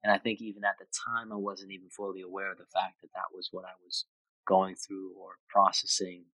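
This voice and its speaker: Guy in his 20s from austria, low voice, thinks out loud, dry close sound. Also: completely flat delivery, brisk, no echo, low-quality recording. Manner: reflective, thoughtful with frequent restarts. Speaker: interview guest. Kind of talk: casual conversation.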